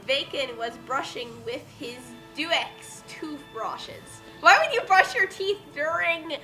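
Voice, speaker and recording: High-pitched woman reading dramatically, unhurried. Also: over music, low-quality recording. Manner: exaggerated dramatic emphasis. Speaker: dramatic reader of fan fiction. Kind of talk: theatrical comic reading of a badly written story, with banter.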